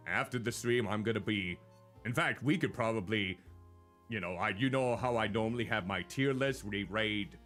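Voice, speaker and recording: A man co-hosting a live stream in casual chat, with a naturally loud voice and a rambling, self-deprecating way of talking. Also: on the low side, moderate pace, soft background music.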